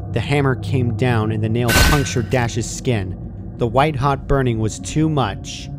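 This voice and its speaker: A man's voice: medium pitch, background noise. A man reading fan fiction aloud as a scripted, dramatic story narration. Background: music.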